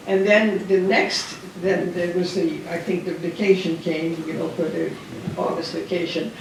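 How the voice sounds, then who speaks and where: An older man with a high, gravelly voice tells a personal story in a halting, rambling way, full of restarts. He sits back from the microphone, and the hall around him rings with strong echo. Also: little pitch movement, a little hiss or hum. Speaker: guest speaker. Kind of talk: personal wartime memoir talk. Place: large hall at a dinner event. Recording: echoey hall heard from a distance.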